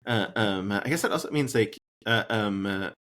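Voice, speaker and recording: A man hosting a casual interview, rambling and halting with lots of filler words. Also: on the low side, a bit bright.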